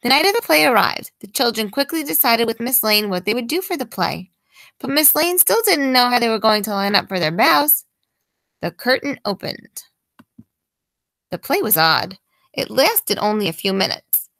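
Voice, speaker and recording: Bright, lively woman reading a picture book. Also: a little high, gravelly, no echo. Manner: expressive, deliberate emphasis. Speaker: teacher reading aloud. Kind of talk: children's picture-book read-aloud.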